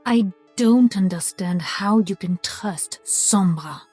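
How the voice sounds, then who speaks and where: A woman's voice: medium pitch, slow, mellow, husky, no room sound. A woman playing a cold, suspicious assassin in a video game. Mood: cool distrust. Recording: music behind the voice.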